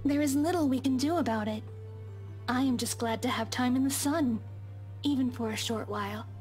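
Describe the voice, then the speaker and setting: Woman acting young servant girl, high voice, timid, formal archaic phrasing, game dialogue, soft music behind. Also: normal speed, lightly acted. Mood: meek, wistful. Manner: formal, old-fashioned, hesitant. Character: meek, deferential young maid of a mansion. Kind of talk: visual novel game voice acting.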